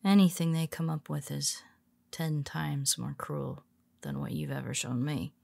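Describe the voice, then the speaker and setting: A scheming heroine, voiced by a woman speaks low and unhurried, with a gravelly edge on her words. She keeps her tone calm, wry and confiding, and often drops into a soft whisper. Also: exaggerated voice. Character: cool, scheming female superhero confessing to her nemesis. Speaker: voice actor. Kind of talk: audio roleplay monologue.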